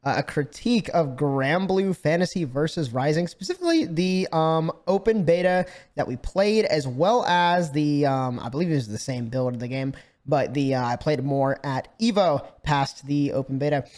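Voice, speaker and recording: A man's voice: a little high, moderate pace. Man with a slightly scratchy voice. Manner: animated, swears freely, frequent fillers and restarts. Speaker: gaming YouTuber. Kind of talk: casual game review.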